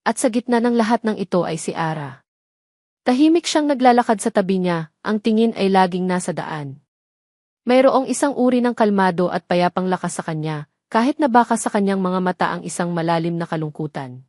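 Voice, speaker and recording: A woman's voice: medium pitch, animated, brisk, a little mellow, no room sound, studio quality. A woman narrating a scripted romance story as a storyteller.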